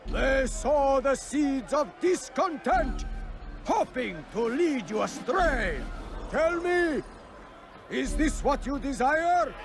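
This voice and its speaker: An actor playing a cruel, zealous crusade-era tyrant in a video game, speaking in a grandiose, declamatory manner. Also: male voice, extremely high pitch, full character voice. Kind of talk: video game dramatic acting, oratory. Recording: loud music behind.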